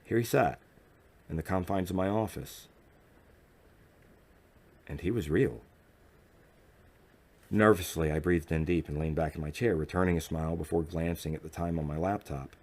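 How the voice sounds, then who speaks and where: Male audiobook narrator, deep register, measured dramatic delivery for first-person fiction. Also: darkish tone.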